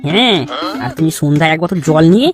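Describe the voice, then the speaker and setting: An actor voicing a sly, flattering, scheming village friend in a comic cartoon dub. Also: medium pitch, fast, music in the background, natural voice. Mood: coaxing.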